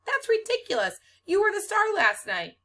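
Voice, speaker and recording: A woman's voice: very high voice, wildly swooping pitch, moderate pace, sharp tone, voice pushed up, full character voice. A woman voicing a spirited, protective young female school aide, emphatic and encouraging, in a storybook reading. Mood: indignant, encouraging.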